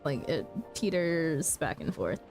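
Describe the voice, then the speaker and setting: A woman's voice: low voice, very animated, slow pace, darkish tone, faint music behind. A woman streamer in casual chat while gaming, laid-back, laughing often and swearing freely.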